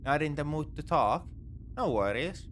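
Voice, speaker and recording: A man's voice: on the high side, expressive, unhurried, a bit bright, slight background noise. A man playing a morally grey space pirate captor in a sci-fi ASMR roleplay, talking in a casual, wry, coaxing way. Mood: calm, smug. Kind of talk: second-person sci-fi ASMR roleplay acting.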